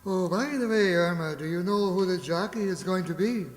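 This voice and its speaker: A woman's voice: lowish voice, moderate pace, natural voice. Woman playing a dry, needling wisecracker. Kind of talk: old-time radio comedy acting. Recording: echoey hall recording.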